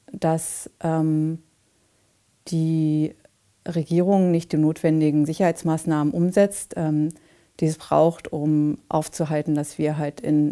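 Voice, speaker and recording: A woman in her forties speaking in a recorded interview, measured and halting, with frequent fillers. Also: low voice, warm tone. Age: 40s. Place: dim indoor set.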